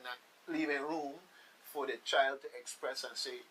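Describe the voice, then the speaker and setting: A man's voice: medium pitch, steady tone, normal speed, sharp tone. A man with a Virgin Islands accent hosting a radio talk show, speaking to listeners in a folksy, emphatic way and repeating phrases for weight. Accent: Virgin Islands Caribbean. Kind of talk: casual on-air discussion addressed to listeners. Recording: hissy, distant microphone.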